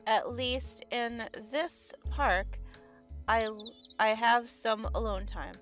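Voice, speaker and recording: A woman streaming a visual novel, reading the text aloud haltingly and stumbling over words as she sounds them out. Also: on the high side, a bit bright, faint music behind. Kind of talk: halting read-aloud of game text with casual chat.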